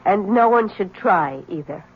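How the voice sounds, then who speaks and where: An actress playing a troubled, guarded wife in a 1970s radio drama, pleading and evasive in a formal way. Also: female voice, medium pitch, lively intonation, unhurried, slightly rough. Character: guarded, troubled young wife warning a man away. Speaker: actor. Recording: dull, hissy old radio recording.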